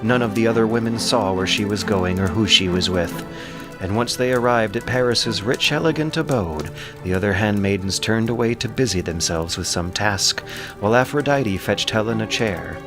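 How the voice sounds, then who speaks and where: A man's voice: fairly low pitch, normal speed, over music. A man narrating an ancient epic as a dramatic, scripted audiobook.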